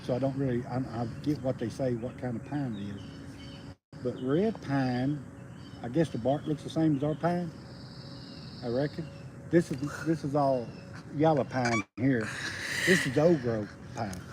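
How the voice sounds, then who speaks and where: Folksy Southern man in his fifties. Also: mid-range voice. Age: 50s. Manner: rambling, folksy, halting restarts. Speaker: homesteading livestream host, logger. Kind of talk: casual outdoor livestream chat. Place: outdoors on a rural roadside. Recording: outdoor noise with birds. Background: birds and rural outdoor ambience.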